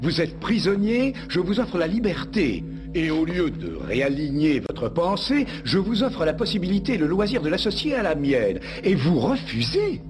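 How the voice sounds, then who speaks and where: An actor playing a scheming, theatrical villain in a dubbed cartoon, pompous and full of mock courtesy. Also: male voice, high-pitched, sing-song, normal speed, hardly any pauses. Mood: coaxing. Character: scheming villain, suave, theatrical, gloating male tyrant. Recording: music under the voice.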